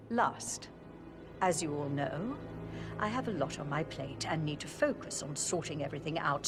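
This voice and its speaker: An actress playing a stern, formal, commanding matriarch over quiet game music. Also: female voice, on the low side. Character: cold commanding elderly matriarch. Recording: game audio with music behind.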